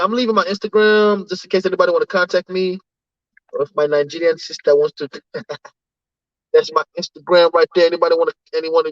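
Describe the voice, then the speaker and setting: A man's voice: on the high side, no room sound, muffled recording. A man hosting a casual livestream, reading out names from the chat and often tagging his lines with "you know what I'm saying". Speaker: livestream host.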